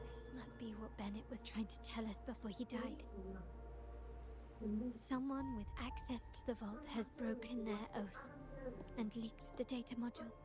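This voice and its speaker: An actress playing a poised young heiress in a video game, speaking in a composed, formal, articulate way. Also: female voice, on the high side, lightly acted. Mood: grave, deliberate. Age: young adult. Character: poised young heiress of a powerful merchant family. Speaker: game character. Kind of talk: scripted video game dialogue. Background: game music.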